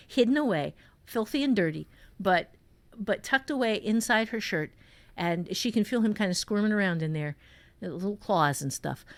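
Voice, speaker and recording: A woman improvising a story in a conversational writing lesson, thinking aloud in a halting way with repeated phrases. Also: mid-range voice, dry recording. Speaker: author and writing teacher.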